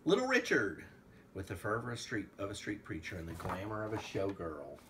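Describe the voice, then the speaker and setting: A man's voice: fairly low pitch, sing-song, a little fast, fairly bright tone, a little hiss or hum. A man, a father, reading a book aloud to a child in a casual, playful way with asides. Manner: playful, breaks into song and asides. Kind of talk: casual read-along of a picture book with sung snippets.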